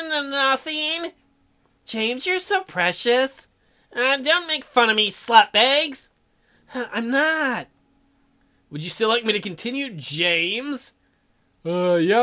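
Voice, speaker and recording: A man hosting a let's play, reading a dating sim's dialogue aloud and cracking crude jokes between the lines. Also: extremely high pitch. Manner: animated, jokey, reads character lines in shifting voices. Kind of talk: reading a dating sim aloud with joking commentary.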